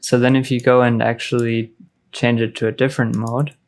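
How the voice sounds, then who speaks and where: A man narrating a casual software tutorial as a music production tutor, informal and explanatory in manner. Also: mid-range voice, little pitch movement, fairly quick, heavy background noise.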